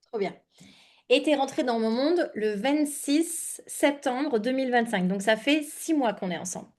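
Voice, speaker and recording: A woman's voice: sits high, lively intonation, a little slow, darkish tone. A woman hosting a podcast in a casual, informal interview style, slangy and mixing in English words. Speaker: podcast host and money coach.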